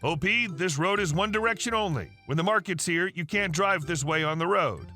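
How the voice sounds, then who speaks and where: A male narrator voicing a stern male traffic officer in a flat, matter-of-fact way, with light music under it. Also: high voice, fairly quick.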